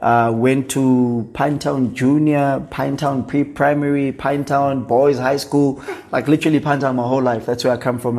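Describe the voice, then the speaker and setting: Young South African man, easygoing guest, slangy, laughs easily, mid-pitched voice. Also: darkish tone. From Durban, South Africa. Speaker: guest, food entrepreneur. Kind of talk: casual podcast chat.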